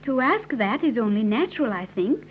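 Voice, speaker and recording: A woman's voice: on the high side, lively intonation, moderate pace, slight background noise, natural voice. A woman acting a naive, earnest young island woman in an old-time radio serial, speaking formally with careful diction. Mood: earnest, gentle. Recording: tinny, narrow-band vintage radio recording.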